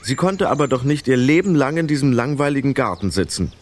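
A man's voice: mid-range voice, lively intonation, brisk, slight background noise. A man narrating a children's radio play as a scripted storyteller.

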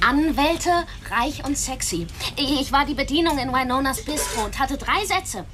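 Naive young streetwalker, very high voice, swooping intonation, childlike, whiny, radio drama. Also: female voice, lively intonation, moderate pace. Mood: wistful, sulky. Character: naive, sulky young female streetwalker dreaming of acting. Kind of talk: German radio drama acting.

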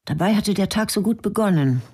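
A woman narrating a radio drama in an even, documentary-like way. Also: medium pitch, fairly quick, husky. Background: music.

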